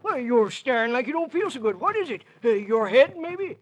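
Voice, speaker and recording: A kindly old man in a 1940s radio play. His voice is very high and husky, swooping up and down as he fusses and repeats himself. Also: big pitch swings, moderate pace, full character voice. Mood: puzzled, concerned. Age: older. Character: fussy, kindly old man at a rural station. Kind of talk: 1940s radio drama acting.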